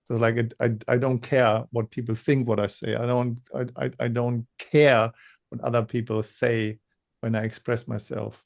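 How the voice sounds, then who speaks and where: A man with a gravelly, mid-range voice co-hosts a casual webinar. He speaks haltingly, circling back over words and stumbling now and then, and he names his own stutter. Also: medium pitch, flat delivery. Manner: halting, repeats words, self-described stutterer. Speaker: webinar co-host.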